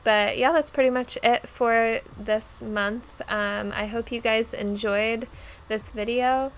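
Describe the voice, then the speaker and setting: A woman's voice: fairly high pitch, steady tone, slow. A young woman who sounds nasal as she gets over a cold, chatting casually with many ums and likes as she narrates her journal spread. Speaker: bullet journal vlogger.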